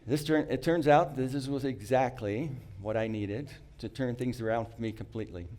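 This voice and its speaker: A middle-aged man, not a native English speaker, telling a prepared personal story in an earnest, reflective way, with small restarts and frequent throat-clearing. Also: mid-range voice, moderate pace, a little mellow.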